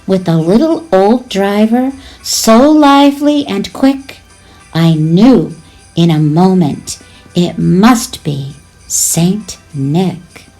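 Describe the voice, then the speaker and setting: A woman reading a storybook poem aloud in an expressive, measured way with pauses between phrases. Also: mid-range voice, poor audio. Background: music with jingle bells.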